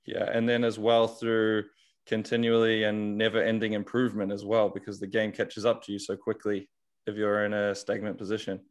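A man's voice: fairly low pitch, monotone, normal speed. A man hosting an interview, asking questions and agreeing readily. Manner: agreeable, brief affirmations. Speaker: podcast host.

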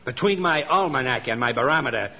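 An actor playing a boastful, scheming villain who poses as an all-powerful magician in a pompous 1930s radio acting style. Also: male voice, medium pitch, animated, fast talker, vocal fry, pushed and bright. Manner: pompous, theatrical, menacing. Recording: muffled, noisy 1930s radio recording.

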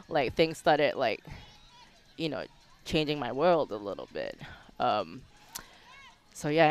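A woman's voice: low-pitched, smooth. A woman from Singapore talking as an interview guest, candid and introspective in casual conversation, often dropping in "like" and "you know".

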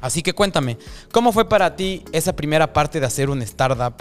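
A man's voice: fairly high pitch, animated, brisk, soft background music. A man from Ecuador, hosting a podcast interview in a candid, talkative, reflective way. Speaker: podcast host. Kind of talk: casual interview chat.

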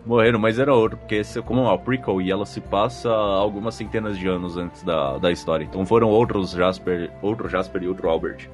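Man, Brazilian accent, lowish voice, very quick, colloquial explainer on a podcast, music underneath. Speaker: podcast co-host and game master. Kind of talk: casual chat answering listener feedback.

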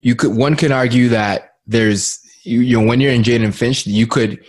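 A man's voice: medium pitch, normal speed. A man hosting an interview podcast, asking long questions that loop back on themselves and repeat words as he goes. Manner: rambling, repeats words while framing questions. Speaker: podcast host.

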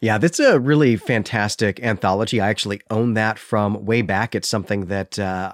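A man hosting a literary podcast, explaining things in a measured, conversational way. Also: lowish voice, no room sound, clean studio sound. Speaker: podcast host. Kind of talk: literary podcast discussion and recap.

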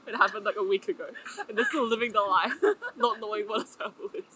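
A woman's voice: on the high side, sing-song, fairly quick, pushed and bright, slight background noise, rough recording. A young woman co-hosting a podcast in casual slangy chat, laughing often. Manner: giggly, laughs often, loose slangy talk. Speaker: podcast co-host.

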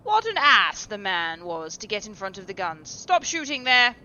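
A woman voicing a hot-tempered aristocratic gentleman on a hunt, speaking loudly and brusquely. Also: mid-range voice, measured pace, lo-fi sound, close to an everyday voice. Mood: alarmed angry. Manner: loud, brusque and irritable. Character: blustering aristocratic male sportsman, hot-tempered.

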